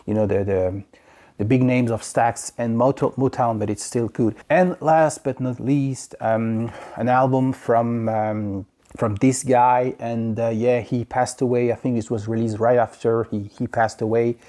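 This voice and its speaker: A man from France with a French accent, chatting casually about his record purchases in a halting way, full of fillers and restarts. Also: medium pitch, fairly even intonation, mellow. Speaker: vinyl collector vlogger. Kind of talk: casual record haul review. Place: home in Quebec.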